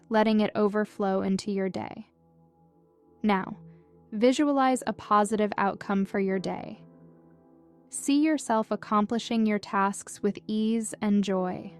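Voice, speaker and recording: A woman narrating a scripted guided meditation in a gentle, calm and soothing manner with measured instructions. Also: mid-range voice, smooth. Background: music.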